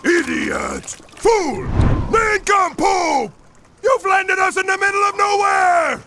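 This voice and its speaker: An actor voicing a furious, domineering evil mask spirit in a gravelly, scolding shout for a video game. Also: male voice, very high-pitched, lively intonation, slow pace, slight background noise, heavily acted. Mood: enraged.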